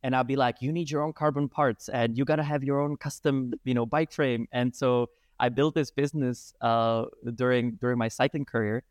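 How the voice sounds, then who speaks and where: A man, a guest telling his life story in animated talk full of hesitations. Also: mid-range voice, moderate pace, hardly any pauses, no room sound, studio quality. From Czech Republic. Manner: animated, hesitant with many fillers. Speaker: guest, breathwork and movement teacher. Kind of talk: casual storytelling interview.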